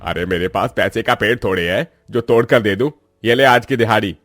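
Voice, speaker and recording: A man voicing a harsh, stingy mill owner with a gruff, bullying manner. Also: lowish voice, a little fast, fairly bright tone, dry recording, clean studio sound, pitched below the performer's own voice, close to an everyday voice. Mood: dismissive. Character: harsh, stingy, scolding male mill boss.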